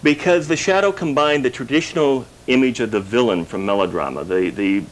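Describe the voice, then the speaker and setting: A man's voice: mid-range voice, a little fast. A man being interviewed as a collector, giving precise, informative explanations. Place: his house, indoors. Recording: noisy 1990s TV broadcast tape.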